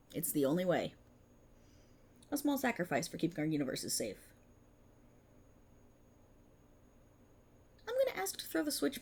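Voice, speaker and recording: A woman plays a vindictive, smug female cop of the multiverse in an ASMR roleplay. Her delivery is theatrical and mocking, with pitch that swings widely. The voice has a slightly husky, breathy edge as she lords it over a writer. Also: full character voice. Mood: smug, menacing.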